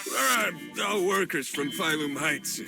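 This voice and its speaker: An actor playing a flustered, humble working-class bandit extra in a video game, gravelly and blustering. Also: male voice, sits high, animated, a little slow, full character voice. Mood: humble, hesitant. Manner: blustering, apologetic, hesitant. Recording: music behind.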